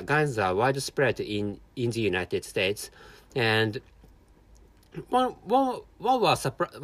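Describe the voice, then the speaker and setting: Halting Japanese-accented man, lively pitch. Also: dry recording. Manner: halting, many restarts and repeated words. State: tired. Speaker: podcast host. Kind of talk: casual solo monologue.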